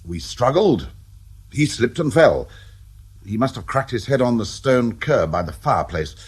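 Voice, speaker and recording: A man's voice: mid-range voice, very animated, measured pace, slightly rough, heavily acted. An actor playing a desperate, guilty nobleman in a radio drama, speaking earnestly and pleadingly. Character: desperate, guilty aristocratic male lover.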